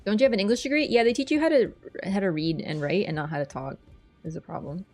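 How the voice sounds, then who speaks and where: A woman's voice: medium pitch, fast, a little mellow, faint music behind. A woman with a Toronto accent streaming a video game, chatting with viewers in a rambling, self-deprecating way. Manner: scatterbrained and rambling, self-deprecating, loses her train of thought. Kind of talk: casual livestream chat, reading and thanking viewers.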